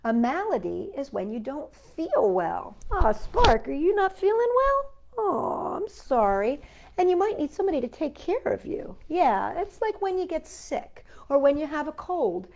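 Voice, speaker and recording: A woman with a high, very lively voice hosts a storytime for children. She is playful and chatty, talking straight to her young audience as she introduces books and poems. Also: measured pace, gravelly. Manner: animated, chatty, addresses young children directly. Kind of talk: playful children's storytime with reading and sing-along.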